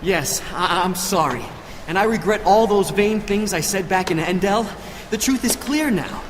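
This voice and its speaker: Nervous, pleading high-voiced young man. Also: lively intonation, moderate pace, noisy background. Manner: nervous, pleading, self-justifying. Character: anxious young man awaiting royal judgment, desperate and pleading. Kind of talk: audio drama acting.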